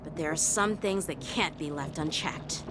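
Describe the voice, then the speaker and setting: A woman's voice: sits low, normal speed, background noise, natural voice. A woman playing a courteous, composed young swordswoman in an anime game. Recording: loud music behind dialogue.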